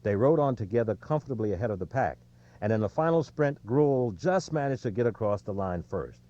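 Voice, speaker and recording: Guy narrating an audiobook, mellow voice that moves up and down a lot, measured reading, bit lo-fi. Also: on the low side, expressive.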